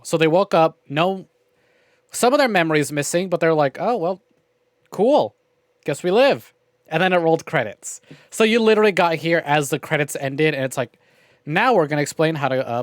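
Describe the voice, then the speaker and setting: Animated, higher-pitched man chatting on stream. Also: no room sound, studio quality. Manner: conversational, addresses viewers, swears casually. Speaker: livestream host. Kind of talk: casual game stream chat and commentary.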